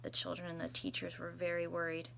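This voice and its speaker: A woman's voice: on the low side, very animated, quick pace, creaky, a bit bright, a little hiss or hum, low-quality recording. A woman reading a children's picture book aloud expressively, adding chatty asides as a school storytime reader.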